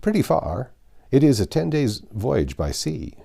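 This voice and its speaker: This is a shrewd storybook detective, voiced by a man, suspicious beneath a polite surface. He prods in a measured, inquisitive way, with pitch that rises and falls freely. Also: big pitch swings, creaky, warm tone, heavily acted. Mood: matter-of-fact. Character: shrewd, suspicious male detective, probing slyly.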